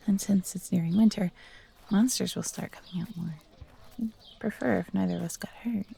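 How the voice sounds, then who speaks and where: A woman playing a friendly, eager young female fox companion in an audio roleplay, chatty, upbeat and expressive. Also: mid-range voice, normal speed, creaky. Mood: practical. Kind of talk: audio roleplay acting addressed to the listener.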